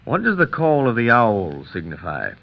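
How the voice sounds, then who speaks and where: Formal courtroom lawyer in muffled vintage radio. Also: male voice, medium pitch, huge pitch swings, gravelly, exaggerated voice. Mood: formal, assertive. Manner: formal, measured questioning. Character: formal male trial lawyer questioning a witness. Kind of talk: old radio courtroom drama acting.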